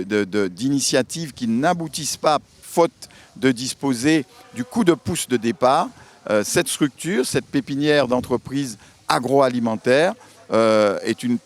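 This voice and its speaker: Halting man giving an interview statement. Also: medium pitch. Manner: hesitant, halting delivery with repeated words. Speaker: local elected official.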